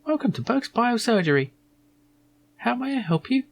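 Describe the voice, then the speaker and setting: A man's voice: very high voice, very animated, moderate pace, gravelly, pitched above the performer's own voice, exaggerated voice. A man voicing a prim, haughty female hologram receptionist in a video game, with polite customer-service delivery. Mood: bright, welcoming.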